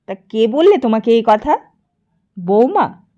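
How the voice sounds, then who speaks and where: Woman doing a bossy bitter old mother-in-law, high scolding voice, audiobook drama, dull sound. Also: big pitch swings, a little slow. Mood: suspicious. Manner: scolding, sharp-tongued, interrogating.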